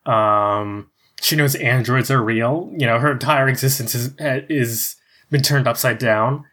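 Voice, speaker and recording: Slow-talking tabletop player, hesitant, filler-heavy narration, mid-pitched voice. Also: fairly bright tone. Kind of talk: narrating a character in a tabletop game.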